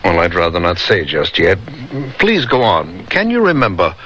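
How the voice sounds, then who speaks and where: An actor playing a measured, reserved doctor, calm, formal and probing, in a radio drama. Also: male voice, sits low, exaggerated voice. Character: measured, reserved male doctor counseling a troubled patient. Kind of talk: vintage radio drama acting. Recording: dull, faintly noisy old radio.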